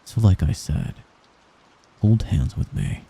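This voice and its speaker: A man playing a young mafia heir in an intimate ASMR roleplay, a gentle, hesitant and possessive friend who gives orders apologetically. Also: low-pitched, wildly swooping pitch, creaky, husky, full character voice. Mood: shy, smitten. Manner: soft-spoken, halting, apologetic while giving orders.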